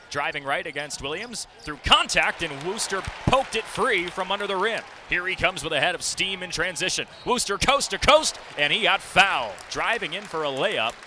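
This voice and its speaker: A young man calling live basketball play-by-play for radio, describing the action vividly as his voice jumps with the plays. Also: fairly high pitch. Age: young adult. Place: courtside broadcast in a basketball arena. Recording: loud arena noise, bouncing ball behind. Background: basketball game ambience, ball bounces.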